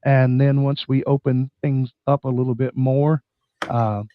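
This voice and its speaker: A man hosts a casual live stream with a scratchy, sick-sounding voice at a middling pitch. He talks in a folksy, halting way. The sound is dry and a little dull. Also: little pitch movement, no echo. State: bronchitis, scratchy voice.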